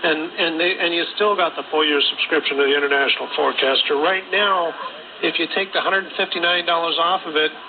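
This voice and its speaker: A man giving an informal, insistent conversational sales pitch as a radio host, often repeating himself. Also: steady tone, a little fast. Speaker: radio show host selling gold and a newsletter subscription. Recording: tinny, very noisy shortwave radio reception. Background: heavy radio static.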